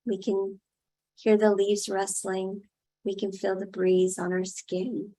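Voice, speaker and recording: Slow-spoken woman leading a guided meditation. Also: mid-range voice, gravelly, a little mellow, low-quality recording. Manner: unhurried short phrases with long pauses.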